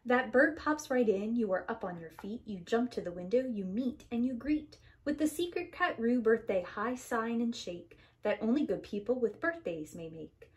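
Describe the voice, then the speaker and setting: A woman's voice: a little high, animated, moderate pace, hardly any pauses. A woman reading a rhyming children's story aloud in an emphatic, theatrical preschool-teacher manner. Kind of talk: expressive children's storybook read-aloud in rhyme.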